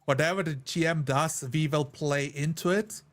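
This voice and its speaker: A man's voice: fairly high pitch, normal speed. A man in a casual discussion of roleplaying, explaining his views as a player in an earnest way. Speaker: tabletop roleplayer.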